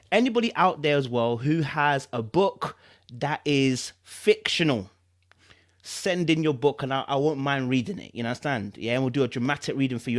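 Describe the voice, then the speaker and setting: A British man with a London accent and a mid-pitched voice. He hosts a live book reading, speaking informally to his listeners and delivering text with dramatic emphasis. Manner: informal, repetitive, checks in with listeners. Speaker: live-stream host and book reader.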